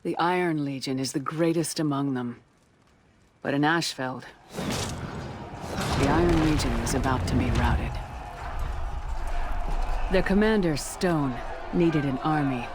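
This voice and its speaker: A man's voice: on the high side, takes it slowly, pauses often, very noisy. A man acting a dutiful, self-assured knight warden narrating a video game story. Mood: solemn. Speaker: protagonist narrator of a game campaign. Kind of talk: scripted cinematic game narration. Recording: music behind.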